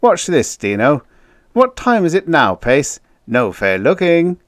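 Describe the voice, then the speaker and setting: A man's voice: fairly low pitch, big pitch swings, normal speed, no room sound, studio quality, voice pushed up. Man putting on a jovial, bouncy wisecracking spaceman. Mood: gleeful. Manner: chuckling, wisecracking, slangy. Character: jovial teasing spaceman, a gleeful kidder.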